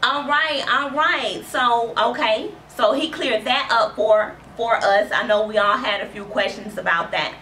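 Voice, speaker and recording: A woman in her thirties hosting an interview show with brisk, cheerful presenter patter. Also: fairly high pitch, pushed and bright. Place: small studio office. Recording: echoey room, mic far off, faint noise.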